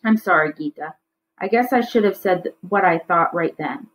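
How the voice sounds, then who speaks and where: A woman's voice: lowish voice, normal speed, lightly acted. A woman voicing a tired, mild, conciliatory father in a storybook. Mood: apologetic. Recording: slightly dull, lo-fi recording.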